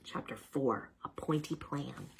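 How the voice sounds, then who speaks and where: A woman reading a children's story aloud in an animated narrating style. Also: rumbling low, measured pace, gravelly, a little hiss or hum, low-quality recording. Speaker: storybook reader.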